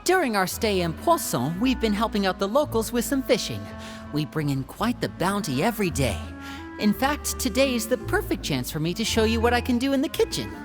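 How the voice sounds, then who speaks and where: A woman's voice: mid-range voice, very animated, fairly quick, natural voice. A woman voicing a courteous young magician. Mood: friendly. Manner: warm, courteous, eager to host. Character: polite, charming young stage magician son. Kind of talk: video game voice acting. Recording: game audio with faint music.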